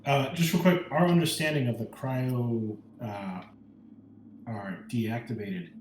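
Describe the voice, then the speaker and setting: A man's voice: medium pitch, slow, a little mellow, echoey room, a little hiss or hum, low-quality recording. A man talking casually as a player in a tabletop roleplaying game.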